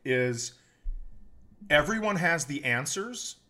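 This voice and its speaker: Animated, teasing man with lively pitch. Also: slow pace, a loud crowd. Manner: animated, teasing, profane, acts out quotes. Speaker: podcast co-host. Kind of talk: casual comedic conversation.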